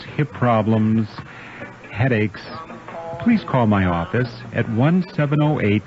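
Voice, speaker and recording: A middle-aged man reading his own scripted radio ad as a doctor, measured, with pauses between items. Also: medium pitch. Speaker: doctor advertising his practice. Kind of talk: scripted self-read radio ad. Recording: dull lo-fi radio recording with music. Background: clear music bed.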